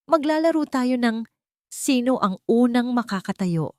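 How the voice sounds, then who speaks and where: A woman plays a soft-spoken maid in an audio drama. She is polite and calm, with quiet steel underneath, in a dry, close recording. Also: on the high side, measured pace, no echo, pitched above the performer's own voice. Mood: encouraging. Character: gentle, steadfast young maid, soft but steely. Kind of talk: audio drama character dialogue.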